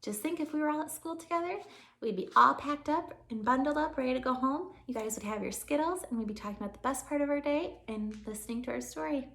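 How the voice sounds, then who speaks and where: A woman with a very high voice reads a children's storybook as a teacher would for her pupils. The recording has an echoey room sound. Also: quick pace, gravelly, a bit bright. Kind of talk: children's storybook read-aloud.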